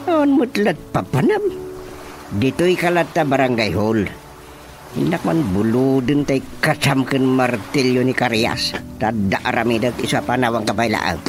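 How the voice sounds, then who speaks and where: A man's voice: mid-range voice, animated, moderate pace, natural voice. A Filipino man with an Ilocano accent playing a complaining handyman husband in a radio drama comedy. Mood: chatty. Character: grumbling husband, a handyman carpenter. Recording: music behind the voice.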